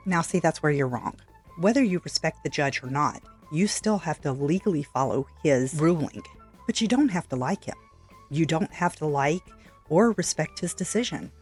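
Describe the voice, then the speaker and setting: A woman giving opinion commentary in a measured, matter-of-fact way, correcting point by point. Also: low-pitched, lively intonation, a little mellow, husky, faint music behind. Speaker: reaction commentator. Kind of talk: opinion commentary over played clips.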